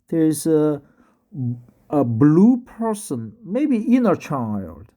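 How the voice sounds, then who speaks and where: Slow, halting Korean-accented man. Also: fairly high pitch, warm tone. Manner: halting, many drawn-out fillers. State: slightly tipsy. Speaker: English learner vlogging. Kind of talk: casual talk and reading aloud.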